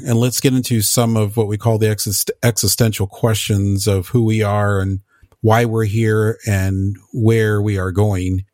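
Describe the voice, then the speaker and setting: A man's voice: on the low side, a little mellow, no room sound. Male interview host, dry close sound, asks guiding questions. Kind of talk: podcast interview hosting.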